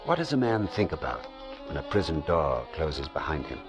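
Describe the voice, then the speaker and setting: Casting: male drama narrator, very low voice, grave and suspenseful, faint music underneath. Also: big pitch swings, normal speed, creaky. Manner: solemn, suspenseful, rhetorical. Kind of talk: old-time radio dramatic narration.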